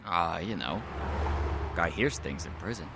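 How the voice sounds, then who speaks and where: A man's voice: low voice, lively intonation, measured pace, very noisy. An actor playing a down-on-his-luck, menacing convict's son in an audio drama, with a streetwise, casual, insinuating manner. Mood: defensive, insistent.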